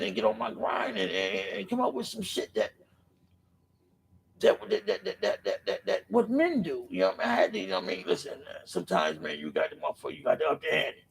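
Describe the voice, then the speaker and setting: Raspy, gravelly-voiced middle-aged man. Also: high voice. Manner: emphatic, profane, fills pauses with "man"; rambling with restarts. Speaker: podcast speaker. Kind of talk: impassioned street-life monologue.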